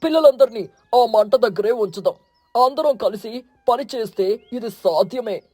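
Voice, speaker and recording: An actor voicing a commanding, protective eagle king in a children's cartoon story, solemn, authoritative and husky. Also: medium pitch, expressive, normal speed, dark tone, no echo, lightly acted. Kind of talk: animated children's moral story.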